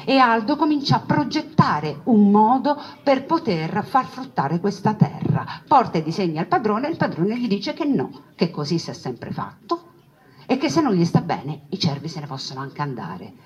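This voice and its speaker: A woman telling a story as a theatrical monologue, conversational and emphatic, with deliberate repetitions and asides to her listeners. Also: mid-range voice, sing-song. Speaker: storyteller, stage narrator. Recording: dull lo-fi recording with clear background noise.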